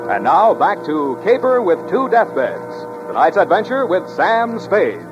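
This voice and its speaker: A man's voice: high-pitched, sing-song, a little slow. A man announcing a 1940s radio commercial with an emphatic salesman's delivery. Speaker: radio announcer reading sponsor ad. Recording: muffled 1940s radio broadcast, some music.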